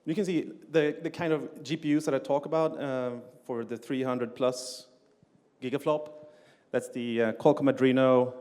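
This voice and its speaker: A man's voice: mid-range voice, steady tone, normal speed, darkish tone. Man lecturing in a reverberant hall. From Sweden. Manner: frequent "uh" fillers and restarts, plain explanatory delivery. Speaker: conference speaker, game engine developer. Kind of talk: technical conference talk. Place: conference hall on stage.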